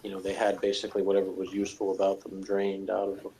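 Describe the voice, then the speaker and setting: Male co-commentator, fairly low voice, casual and rambling, slight background noise on the recording. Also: completely flat delivery, quick pace, warm tone, rough recording. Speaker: review show co-commentator. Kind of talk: casual TV review discussion.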